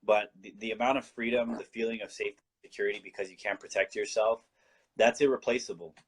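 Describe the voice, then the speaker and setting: A man's voice: fairly low pitch, fairly even intonation, fast talker, slightly rough. A man from Colombia, a guest in a casual talk-show discussion, explaining his views earnestly and often restarting his sentences.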